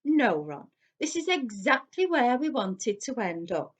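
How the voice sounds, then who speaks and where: A woman's voice: on the high side, very animated, a little slow, pitched above the performer's own voice. Brief: sarcastic teenage twin boy, dry and mocking, voiced by a woman.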